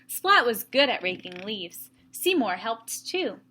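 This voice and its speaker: A woman teacher reading a story aloud to young children, with expressive emphasis and explanatory asides. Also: fairly high pitch, slow pace.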